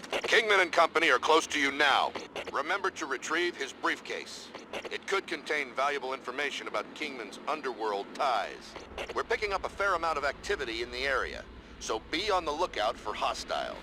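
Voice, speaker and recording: An actor playing a stern military commander in a video game, giving measured, authoritative mission briefings. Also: male voice, mid-range voice, noisy background. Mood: brisk. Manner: measured, authoritative, matter-of-fact.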